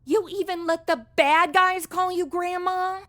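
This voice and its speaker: A woman's voice: very high-pitched, steady tone, measured pace, fairly bright tone. A woman playing a sassy, exasperated modern young secretary in a comedy audio drama, sarcastic and casual. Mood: indignant. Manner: sarcastic, indignant, contemporary casual phrasing.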